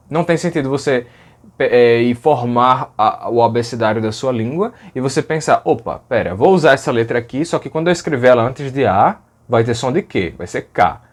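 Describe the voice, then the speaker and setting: A man teaching a lesson in a conversational way, often tagging "né?" and sounding out speech examples. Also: medium pitch. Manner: conversational, fillers like "né?", demonstrates sounds aloud. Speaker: language educator. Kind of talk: explanatory video lesson.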